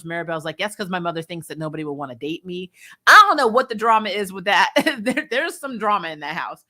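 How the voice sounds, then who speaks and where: A woman hosting a casual, opinionated video review, talking candidly to viewers and retelling things in an animated, mildly sweary way. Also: on the low side. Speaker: video host reviewing a TV episode.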